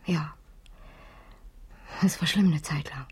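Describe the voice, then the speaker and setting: An actress playing a reserved, quietly thoughtful young single mother in a German radio drama, with a breathy voice. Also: low voice, slow, heavily acted. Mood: subdued, candid. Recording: music behind, 1970s radio play.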